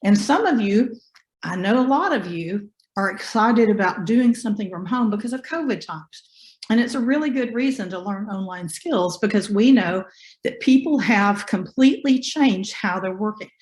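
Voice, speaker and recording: A woman with a hoarse voice from bronchitis, teaching a live online class over a video call in a folksy, encouraging way and asking her students questions. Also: medium pitch, expressive, moderate pace, roomy sound. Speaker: online business instructor. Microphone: computer mic on a video call.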